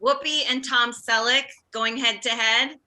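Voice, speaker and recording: Woman playing fussy middle-aged mother, emphatic, piercingly bright, highish, comedy script read. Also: steady tone, unhurried. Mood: put-upon, insistent. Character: self-absorbed, well-meaning forty-five-year-old mother and nurse.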